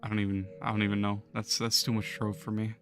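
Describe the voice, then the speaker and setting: A hoarse young man losing his voice, chatting casually with viewers on a gaming livestream and chuckling often. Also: fairly low pitch, completely flat delivery, fast, faint music behind. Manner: laid-back, chuckles while talking to chat.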